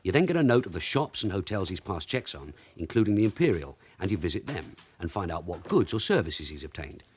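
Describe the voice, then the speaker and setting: An actor playing a shrewd, middle-aged police sergeant in a radio drama, dry, sarcastic and bossy. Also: male voice, sits low, sing-song, fairly quick, slightly rough, full character voice. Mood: brisk, instructing. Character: shrewd, sardonic veteran London detective sergeant. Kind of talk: naturalistic radio drama acting.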